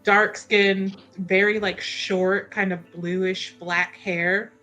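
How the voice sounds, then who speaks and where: A woman with a bright, forward voice at a middle pitch thinks aloud during a tabletop game. She is informal and animated, taking her time overall, with faint music underneath. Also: takes it slowly, highs cut off. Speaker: tabletop roleplay player. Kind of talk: casual improvised game chat.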